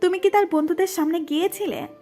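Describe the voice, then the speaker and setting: A very high, girlish voice acted by a woman plays a college-age younger cousin in an audio story. She teases and chides with a whiny edge, over faint music. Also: steady tone, fast, dark tone. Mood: teasing, curious. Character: sleepy, chiding teenage younger girl cousin, a college student.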